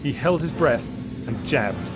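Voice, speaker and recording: A man's voice: medium pitch, animated, unhurried, over music. A man narrating an adventure story in a scripted, dramatic style.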